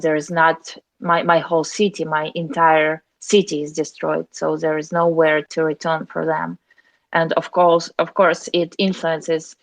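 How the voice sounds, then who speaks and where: A young woman speaks low and evenly, with little rise or fall in her voice. She talks earnestly and carefully as a charity communications officer, often restarting phrases. The recording is close and dry, with no room sound. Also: no room sound. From Ukraine. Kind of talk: interview answers.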